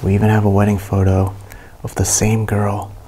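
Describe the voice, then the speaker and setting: A young man narrating a walkthrough of an abandoned mansion to a handheld camera, hushed and awed by what he finds. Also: fairly low pitch, monotone, normal speed, slight background noise. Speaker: urban explorer vlogger. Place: inside a large abandoned mansion.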